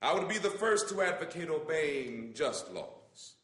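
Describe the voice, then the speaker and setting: A man's voice: fairly high pitch, very animated, unhurried, a bit bright. A man giving a dramatic recitation of a written letter in an oratorical, impassioned manner with emphatic phrasing.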